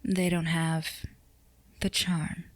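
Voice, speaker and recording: A woman playing a flirtatious, composed CEO boss in a scripted ASMR roleplay, speaking to the listener in an unhurried, teasing, formal way. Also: lowish voice, level tone, no room sound. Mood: composed, persuasive.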